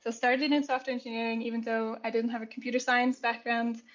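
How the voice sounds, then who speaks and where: A woman's voice: a little high, level tone, fast, dull audio. A young woman from Switzerland, a guest on a podcast, talking casually about her work with frequent ums and easy laughter. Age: young adult. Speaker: podcast guest, software and product engineer.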